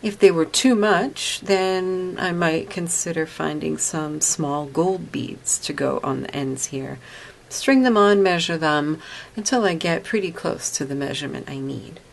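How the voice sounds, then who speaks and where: Woman doing a step by step craft how-to, lowish voice, plain patient explaining, some noise in the background. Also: measured pace, gravelly. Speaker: craft tutorial presenter. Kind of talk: step-by-step instructional narration.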